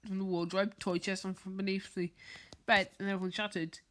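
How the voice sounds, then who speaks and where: A woman reading a children's bedtime story aloud, haltingly, stumbling over and repeating words as she goes. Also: mid-range voice, level tone, slight background noise. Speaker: storybook reader.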